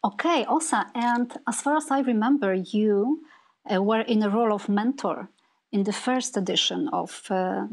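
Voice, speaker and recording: Middle aged woman, mid-pitched voice, hosting a video call panel and asking questions. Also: low-quality recording. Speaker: panel moderator.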